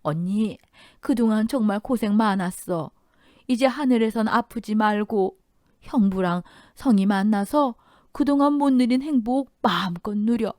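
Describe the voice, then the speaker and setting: A woman voicing an older, sorrowful and caring aunt in a radio story, speaking earnestly and gently to her family. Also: mid-range voice, unhurried, dry recording, clean studio sound, voice pushed up, natural voice. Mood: sorrowful farewell.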